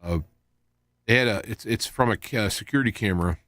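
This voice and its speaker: Low-voiced man, rambling radio host. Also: a bit bright, a little hiss or hum. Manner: halting, loosely wandering from point to point. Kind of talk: rambling live talk show monologue.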